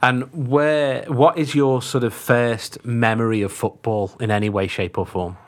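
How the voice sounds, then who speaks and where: A man hosting a podcast, reading a measured introduction and asking questions. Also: medium pitch, steady tone, no room sound. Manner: measured, scripted intro then brief prompts. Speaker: podcast host. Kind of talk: podcast interview.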